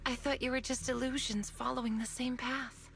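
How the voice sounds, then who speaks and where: An actress playing a gentle, apologetic young woman in a video game, speaking in a soft, measured, earnest way. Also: high voice, level tone, creaky, soft background music. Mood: calm, wistful. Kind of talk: video game story voice acting.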